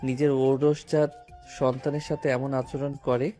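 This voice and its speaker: A man's voice: mid-range voice, little pitch movement, normal speed, warm tone, close to an everyday voice. A man acting a supportive, protective young lover in an audio story, speaking in an earnest, reassuring way. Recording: music behind the voice.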